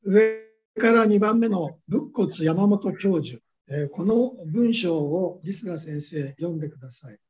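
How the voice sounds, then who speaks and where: A man giving a measured academic lecture, pausing often on drawn-out fillers. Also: high voice, lively intonation, darkish tone. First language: Japanese.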